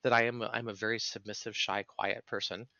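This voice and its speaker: A man's voice: lowish voice, little pitch movement, a little fast, gravelly, pushed and bright, no echo, dull audio. A man speaking as a podcast guest in reflective conversation, explaining things earnestly and analytically in long sentences.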